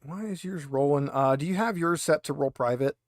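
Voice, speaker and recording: A man's voice: on the high side, fairly quick, no echo, studio quality. A man game mastering in a casual, improvised way, thinking aloud as he describes things.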